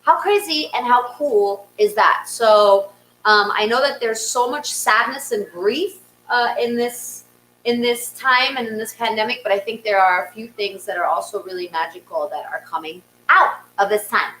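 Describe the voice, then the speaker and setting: Female comedy host, animated and conversational, very bright voice in an echoey room. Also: on the high side, moderate pace. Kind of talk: casual comic storytelling and hosting. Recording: roomy echo, faint noise.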